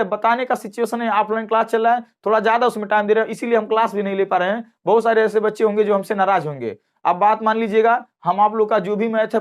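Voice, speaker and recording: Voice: medium pitch, dull audio. Emphatic belt teacher with repetitive delivery. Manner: emphatic, repeats phrases, checks listeners are following. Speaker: math teacher at a coaching class. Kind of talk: informal exam cut-off explainer.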